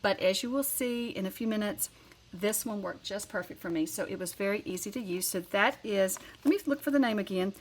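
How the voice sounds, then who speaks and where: A woman's voice: mid-range voice, a little fast. A woman with a Southern accent hosting a card-making channel, talking through a craft tutorial in a chatty, rambling way and correcting herself as she goes.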